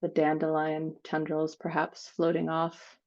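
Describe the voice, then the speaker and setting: A woman leading a guided spiritual meditation, speaking in unhurried phrases with long pauses between them. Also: sits low, monotone, darkish tone, lo-fi sound.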